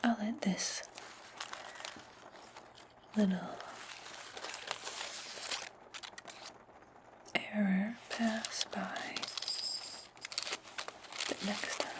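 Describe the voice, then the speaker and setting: A woman playing a stern, imperious witch in a slow, breathy whispered ASMR roleplay, speaking deliberately close to the microphone. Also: mid-range voice, noisy background, natural voice. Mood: coldly threatening. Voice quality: breathy, mostly unvoiced whisper. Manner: deliberate, imperious, menacing, drawn-out phrasing. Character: stern, commanding witch demanding servant's obedience.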